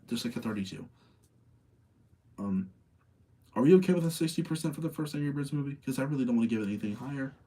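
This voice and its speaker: Mellow-voiced man, rambling and tired. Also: medium pitch, husky. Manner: rambling, thinking aloud, repeating himself. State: tired late at night. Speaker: streamer hosting a movie rating session. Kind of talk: casual opinionated chat with live audience.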